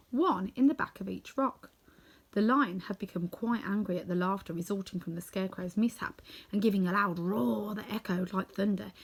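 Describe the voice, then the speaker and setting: A woman reading a children's story aloud as scripted, expressive narration. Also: mid-range voice, slightly rough, rough recording. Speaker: storybook reader.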